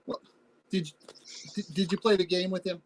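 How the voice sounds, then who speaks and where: A man acting the part of a teasing, sentimental partner in a loving couple in a play reading, with halting, bantering delivery. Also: high voice, steady tone, low-quality recording. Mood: defensive, softening. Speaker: actor in a staged reading. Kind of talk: naturalistic stage play reading. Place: remote virtual reading over video call.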